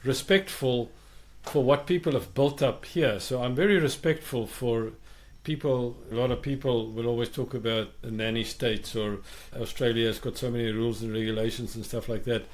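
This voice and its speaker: Thoughtful South African podcast host. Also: male voice, mid-range voice, steady tone, normal speed, few pauses. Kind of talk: reflective podcast conversation.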